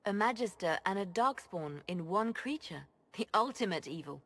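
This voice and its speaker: An actress voicing a composed, loyal female spymaster in a video game cutscene. She speaks in a measured, formal way with a dry touch of amusement. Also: medium pitch, animated, fairly bright tone. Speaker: spymaster advising the Inquisitor.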